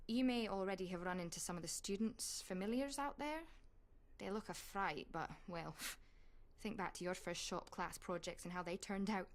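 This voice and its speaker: An actress playing a wry, brisk witch doctor and schoolteacher in a video game, with a sardonic, colloquial manner. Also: on the low side, close to an everyday voice. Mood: wry, matter-of-fact. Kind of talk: video game cutscene acting.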